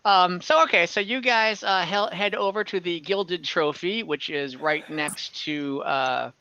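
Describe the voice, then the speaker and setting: A man acting as game master in an online game, narrating off the cuff in a halting, conversational way. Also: high voice, sharp tone, highs cut off, slight background noise. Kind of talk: improvised narration and table talk in an online tabletop game.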